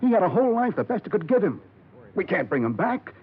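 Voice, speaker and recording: An actor playing a weary, bitter, crooked investigator in a 1950s radio drama, talking in a slow, heavy, halting way. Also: male voice, high voice, sing-song. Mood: bitter pleading. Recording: dull, noisy 1950s radio recording.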